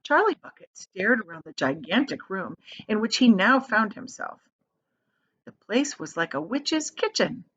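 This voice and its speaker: A woman reads a storybook aloud in the manner of a teacher. Her pitch is lively and varied, and she leans on descriptive words for drama. The recording is a little dull. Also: measured pace, breathy edge. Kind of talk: expressive storybook read-aloud with asides.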